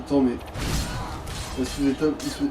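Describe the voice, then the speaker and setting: A man's voice: medium pitch, level tone, quick pace, music in the background. A man chatting casually over a video game as a let's player, with crude, slangy joking. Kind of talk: casual gaming chat. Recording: distant mic with clear noise.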